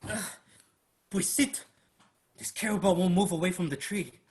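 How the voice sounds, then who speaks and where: A man's voice: high-pitched, normal speed, lightly acted. A man playing a brash, restless young Filipino who talks with streetwise cockiness in a staged reading. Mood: grumbling.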